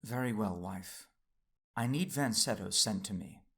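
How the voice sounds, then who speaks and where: A man playing a calm, teasing Sith husband in an audio drama, speaking in an unhurried, wry way. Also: lowish voice, creaky, breathy edge, full character voice.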